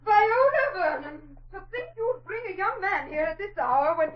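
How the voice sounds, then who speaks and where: An actress playing a prim, indignant older aunt in a fussy, scolding manner, in 1940s radio drama style. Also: female voice, very high voice, animated, normal speed. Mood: scandalized, indignant. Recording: muffled 1940s radio recording.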